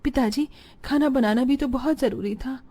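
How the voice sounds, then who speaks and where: Woman as a poor village woman, high soft-toned voice, tender, plaintive, caring, cartoon storytelling. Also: moderate pace. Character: poor, caring mother or dutiful daughter, sorrowful. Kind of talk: Hindi animated moral story acting.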